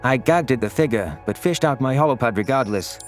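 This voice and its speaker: A man's voice: medium pitch, expressive, a little fast, faint music behind. A man reading scripted first-person science fiction narration as a story narrator. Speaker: narrator of audio stories.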